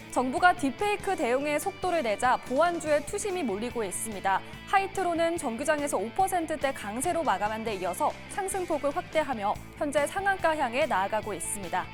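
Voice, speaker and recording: High, animated woman reading market news over music. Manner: polished, formal broadcast delivery. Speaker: stock market news presenter. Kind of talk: scripted market news report. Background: music bed.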